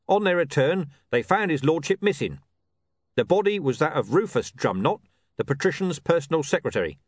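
A man's voice: a little high, no echo, studio quality, voice pushed up. A man voicing a curt, blunt, irritable and suspicious police commander in an audiobook story.

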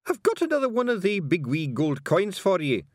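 A high-pitched, bossy little Scottish creature from a storybook. The voice blusters and scolds in a broad Scottish accent, leaping about in pitch and loud with irritation, stumbling as it tries to pass for a gentleman. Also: wildly swooping pitch, moderate pace, no echo, clean studio sound, exaggerated voice. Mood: impatient.

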